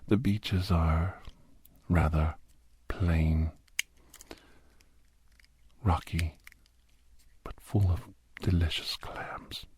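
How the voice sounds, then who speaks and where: A man playing a menacing, cultured, hungry villain in an ASMR drama, slow and deliberate, in a breathy whisper. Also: low-pitched, steady tone, darkish tone, slight background noise. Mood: musing, refined. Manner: slow, deliberate, halting, savoring phrases. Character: menacing, cultured captor, hungry island-dwelling werewolf villain.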